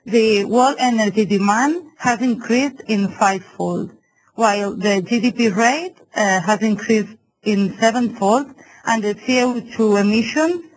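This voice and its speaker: An English-speaking woman from Spain, presenting at a webinar in a halting way, searching for words. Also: fairly high pitch, low-quality recording. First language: not English. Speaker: city council technician, presenter.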